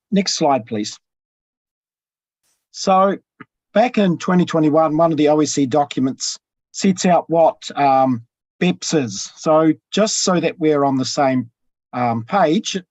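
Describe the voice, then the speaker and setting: Husky New man lecturing. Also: a little high, a little mellow, dry recording. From New Zealand. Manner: measured, with frequent ums and pauses. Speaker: tax professor presenting. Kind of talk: academic webinar presentation. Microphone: video call. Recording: dull lo-fi video call audio.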